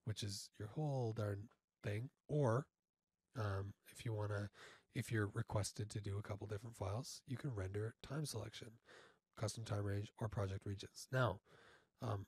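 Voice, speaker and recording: Man, husky low voice, informal tutorial instructor, halting step-by-step delivery, dry close-mic sound. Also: fairly even intonation, a little mellow, dry recording. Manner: halting, often checking in with okay. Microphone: close studio mic through audio interface.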